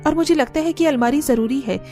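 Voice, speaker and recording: A woman's voice: sits high, fairly quick, mellow, faint music behind, close to an everyday voice. A woman voicing a firm but caring young daughter-in-law who reasons earnestly in a cartoon story. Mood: earnest, persuading. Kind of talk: Hindi cartoon story dialogue.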